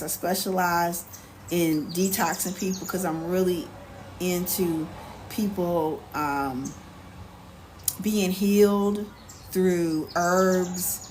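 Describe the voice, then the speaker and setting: Animated, sassy American woman livestreaming. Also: mid-range voice. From United States. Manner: animated, sassy, colloquial, repeats catchphrases. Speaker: live stream host. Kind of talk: casual livestream chat, answering viewer questions. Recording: clear background noise, ambient sound.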